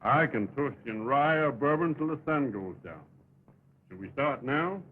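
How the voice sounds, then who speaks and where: A man's voice: mid-range voice, expressive, moderate pace, close to an everyday voice. Man playing a laconic cowboy, tinny old film. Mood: assertive. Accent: American. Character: laconic, steady cowboy ranch foreman. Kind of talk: early talkie Western film acting. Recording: tinny, noisy 1929 film soundtrack.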